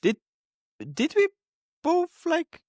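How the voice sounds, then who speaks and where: A man's voice: very high voice, lively intonation, takes it slowly, a little mellow, no echo, clean studio sound. A young man from Slovenia co-hosting a casual podcast, laid-back and chuckling often. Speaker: podcast co-host. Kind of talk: casual chat.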